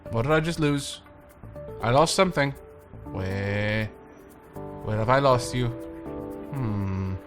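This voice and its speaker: A man's voice: medium pitch, slow, pauses often. A man with a slight cold, chatting playfully to himself and the game's tenants as he plays. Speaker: let's play host. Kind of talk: casual gameplay commentary. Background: game music.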